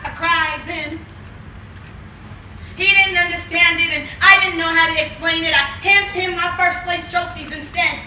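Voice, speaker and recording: Echoey, high-pitched anguished woman in verse. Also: steady tone, pushed and bright, exaggerated voice. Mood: haunted, grieving. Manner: slow, poetic, dramatic delivery. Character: anguished woman confessing buried trauma in verse. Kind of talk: theatrical spoken-word monologue with sung refrains. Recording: echoey stage hall, distant mic, noise.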